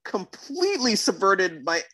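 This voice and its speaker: A man's voice: high-pitched, sing-song, unhurried, bright, forceful tone, breathy edge, dry recording. A man podcast host giving casual, exclamatory reactions to a TV show, often repeating himself for emphasis.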